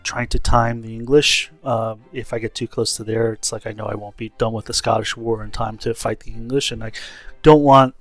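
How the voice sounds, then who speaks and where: A man's voice: medium pitch, steady tone, moderate pace, a bit bright. A man giving casual, explanatory commentary on his video game campaign in a rambling way. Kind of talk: casual gameplay commentary recap. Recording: faint music behind voice. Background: faint background music.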